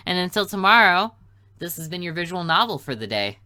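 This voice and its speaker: Bright-voiced young man doing game commentary. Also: high voice, sing-song, a little fast. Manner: rambling, joking asides. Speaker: gaming video host. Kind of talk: casual playthrough commentary.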